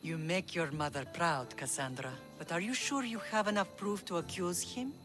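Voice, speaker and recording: A woman's voice: on the low side, normal speed, music in the background, close to an everyday voice. An actress playing a proud, steely, middle-aged Spartan mother in a video game. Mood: proud, solemn. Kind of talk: video game dramatic acting.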